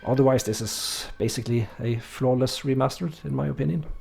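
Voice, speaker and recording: A man's voice: medium pitch, brisk, vocal fry, faint music behind. A man with a Norwegian accent, streaming a game and chatting casually with viewers, hesitant with many fillers. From Norway. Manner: relaxed, hesitant, many fillers, reads chat aloud. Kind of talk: casual let's-play chat with chat readers.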